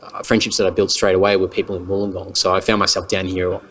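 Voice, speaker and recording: An Australian man guesting on a podcast, telling stories in a relaxed way with plenty of fillers, in a pub. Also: deep voice, fast talker, slight background noise. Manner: relaxed storyteller, many fillers. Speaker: guest, band member. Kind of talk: casual chat. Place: pub with stools and round tables.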